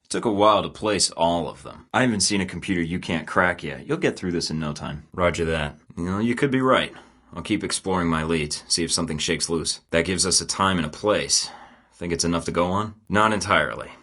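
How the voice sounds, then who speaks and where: Cocky game spy: deep, bright-edged voice, dry sarcasm. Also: male voice, a little fast. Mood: wry. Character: wisecracking young male secret agent, cocky. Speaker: video game protagonist. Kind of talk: game voice lines.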